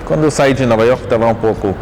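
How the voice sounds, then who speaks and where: A middle-aged man, a dance teacher, telling his life story in an interview in a thoughtful, halting way with frequent restarts and self-corrections. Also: medium pitch, noisy background.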